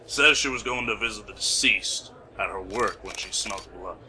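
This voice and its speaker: A man playing a brisk, dry police officer in an amateur radio play, clipped and procedural. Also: fairly low pitch, pushed and bright, slight background noise. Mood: matter-of-fact.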